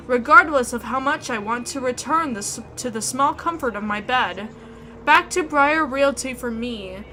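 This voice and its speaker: A woman narrating a horror visual novel in the first person, reading with expressive, suspenseful delivery. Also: on the high side, slight background noise, low-quality recording.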